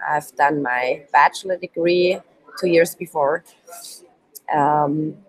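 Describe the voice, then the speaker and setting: Low-voiced hesitant woman lecturing slowly. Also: poor audio. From Switzerland, Turkish roots. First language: not English. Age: 20s. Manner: hesitant non-native English, many ums. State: sick. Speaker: youth work trainer. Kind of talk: live online course presentation.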